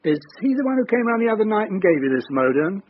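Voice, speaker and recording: A bemused, grumbling older British father in a radio play. His fairly high male voice rises and falls sceptically, in slightly dull sound. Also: very animated, fast talker. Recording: dull radio drama sound, faint noise.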